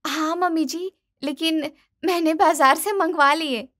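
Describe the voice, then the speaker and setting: A woman's voice: extremely high pitch, fairly even intonation, unhurried, a bit bright, voice pushed up. A woman voicing a stubborn young daughter-in-law with whiny, dismissive protests in a cartoon moral story. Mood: breezy, defensive. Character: stubborn, breezy young daughter-in-law who loves corn.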